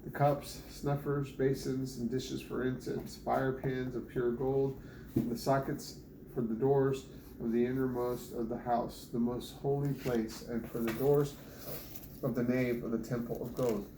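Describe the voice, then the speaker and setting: Even-toned man reading scripture in echoey room. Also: mid-range voice, a little slow. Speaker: Bible reader. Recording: roomy echo with clear background noise.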